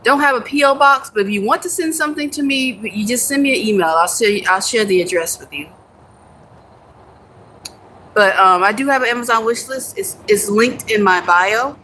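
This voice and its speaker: A woman's voice: on the high side, normal speed, pushed and bright, slight background noise. A young American woman chatting casually on a livestream, reading and answering viewer comments, laughing easily and swearing now and then. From United States. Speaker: livestream host. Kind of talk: casual live chat with viewers.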